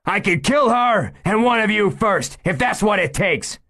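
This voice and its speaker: A man voicing a panicked, desperate male gunman who yells in a shrill, frantic way. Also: expressive, measured pace, exaggerated voice. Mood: threatening.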